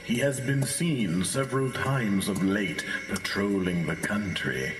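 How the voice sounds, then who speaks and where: Guy doing an ominous ghost story teller, slow n grave, big echoey reverb, radio play style. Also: lowish voice, lightly acted. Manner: slow, drawn-out, grave delivery. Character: ominous teller of a headless horseman ghost tale. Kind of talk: theatrical ghost-story acting. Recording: echoey, long cavernous reverb.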